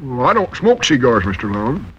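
An actor playing an obedient, slow-witted country lad with a husky voice in a 1940s radio comedy. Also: male voice, mid-range voice, sing-song, fairly bright tone, a little hiss or hum. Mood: dutiful. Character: dim, obedient country lad, a flunky assistant. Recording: muffled old 1940s radio broadcast.

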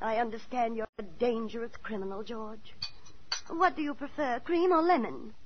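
A woman's voice: a little high, normal speed, slight background noise, natural voice. An actress playing a prim, fussy older landlady in a 1940s radio thriller, fluttery, proper and theatrical, with a chilling sweetness. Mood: sweetly sinister. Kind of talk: 1940s radio thriller acting, first-person narration. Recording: muffled 1940s radio broadcast, music behind.

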